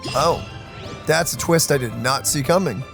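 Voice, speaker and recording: A man reacts casually as a co-commentator in a let's play. His voice is fairly high for a man, with faint music in the background. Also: normal speed, creaky. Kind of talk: casual, reactive gameplay banter.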